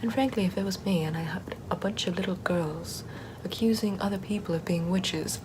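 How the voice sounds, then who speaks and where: A woman's voice: mid-range voice, a little fast, noisy background, natural voice. A woman playing a chill, sardonic Puritan-era Salem witch in a whispery, casual ASMR roleplay. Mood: scornful. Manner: hushed, often whispering, wry and casual.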